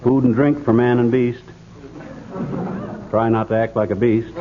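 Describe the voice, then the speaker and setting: An actor playing a blustering, scatterbrained middle-aged husband with a folksy manner in 1940s radio comedy. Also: male voice, medium pitch, little pitch movement, a little slow, lightly acted. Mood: bustling. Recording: noisy muffled 1940s radio recording.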